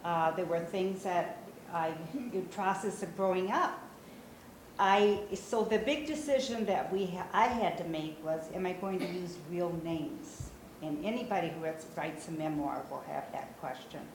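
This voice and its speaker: Older Brazilian-born woman talking in echoey room. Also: fairly low pitch. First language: Portuguese. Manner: conversational, frequent "uh" pauses, gestures while speaking. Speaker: memoir author giving a talk. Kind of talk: informal talk to a small audience. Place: small meeting room, indoors. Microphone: far camera. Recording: roomy echo, faint room noise.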